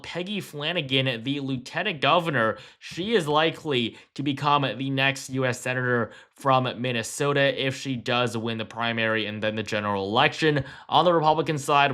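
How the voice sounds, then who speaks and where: A man's voice: medium pitch, moderate pace, hardly any pauses, bright, forceful tone. An American man giving election prediction commentary as a political commentator, explaining things in a matter-of-fact manner.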